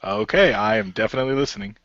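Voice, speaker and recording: A man acts out a brash shapeshifting genie hero for an audio roleplay. The voice is bright and forceful, a little low, and very dry. He is playful and taunting, always ready with a wisecrack. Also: fast talker, no room sound, muffled recording, natural voice. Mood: wry. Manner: taunting, jokey.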